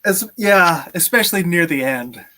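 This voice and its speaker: A man's voice: high voice, lively intonation, normal speed, noisy background. A man in his thirties hosting a casual live stream over a video call from home. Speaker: host, game company creator.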